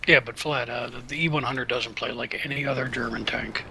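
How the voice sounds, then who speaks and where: A man on voice chat, giving his flat, matter-of-fact opinions as a teammate in a game. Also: medium pitch, a little fast, creaky, background noise. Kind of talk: casual gaming chat.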